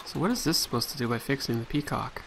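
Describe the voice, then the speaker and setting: Male let's play host, mid-pitched, casual running commentary, light background noise. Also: animated, fast. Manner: chatty, thinking aloud.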